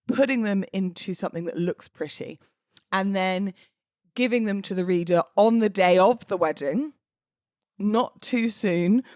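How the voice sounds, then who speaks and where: A woman's voice: on the low side, no echo, clean studio sound. A British woman, likely in her thirties, hosting a casual solo podcast in a chatty, self-deprecating, playfully emphatic way. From United Kingdom. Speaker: podcast host; wedding celebrant.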